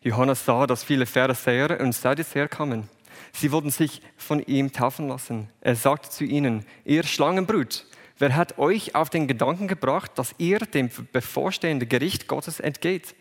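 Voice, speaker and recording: A man with an English-speaking accent reads the Bible aloud and preaches in careful, halting German. He breaks off and restarts words often. His voice carries a long reverb from a large hall, and its pitch sits in an average range. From England, raised in USA. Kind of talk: bible reading and sermon.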